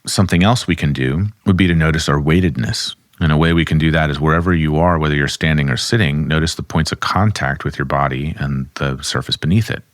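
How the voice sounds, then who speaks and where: A man, a somatic therapist, calmly explaining and demonstrating grounding exercises at his desk. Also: very deep voice, fairly quick, few pauses, dry recording, studio quality. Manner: calm, instructive, speaks while demonstrating.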